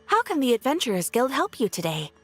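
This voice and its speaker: A young female guild receptionist in a fantasy video game. Her voice sits fairly high and moves with lively intonation, staying formal, polite and helpful as music plays faintly behind her. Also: fast talker, breathy edge, exaggerated voice. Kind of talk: scripted video game dialogue.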